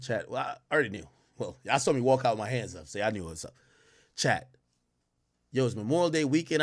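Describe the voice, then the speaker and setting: A man in his thirties, born in Jamaica, chatting loosely to his stream chat with slang and swearing, wearing a headset indoors. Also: mid-range voice. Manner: loose, rambling, profane, heavy slang. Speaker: livestream host. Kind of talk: casual livestream commentary to chat. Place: indoor home streaming room.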